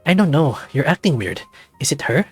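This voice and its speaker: A man's voice: a little high, very animated, brisk, slightly rough, no room sound, soft background music. A man voicing a playful, affectionate and caring male wolf in a visual novel, with teasing, earnest delivery. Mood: worried, caring. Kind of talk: visual novel character acting.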